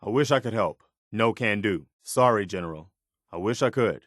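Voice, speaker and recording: A man's voice: lowish voice, animated, moderate pace, fairly bright tone, no room sound, clean studio sound. A man voicing an earnest, principled militia soldier as a companion in a video game. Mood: steady, calm. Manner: earnest, plain-spoken soldier.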